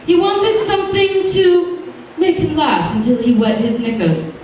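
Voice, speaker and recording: High voiced woman reading a funny fairy tale on stage, takes it slow, super echoey. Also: darkish tone. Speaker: storyteller performing at a live show. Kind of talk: theatrical fairy-tale reading with comic verse. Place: indoor stage show, room ambience behind. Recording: very echoey live hall, distant mic, noisy.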